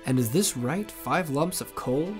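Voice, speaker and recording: A man playing a nervous, earnest, soft-hearted young Santa in a Christmas story. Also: expressive, unhurried, music in the background. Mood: puzzled, concerned. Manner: muttering to himself, hesitant, booming out ho-hos. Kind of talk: character acting in a Christmas audio story.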